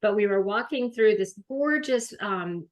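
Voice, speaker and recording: A woman's voice: medium pitch, animated, unhurried. A woman hosting, giving a chatty, rambling introduction of a guest. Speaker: host. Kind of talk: casual introduction.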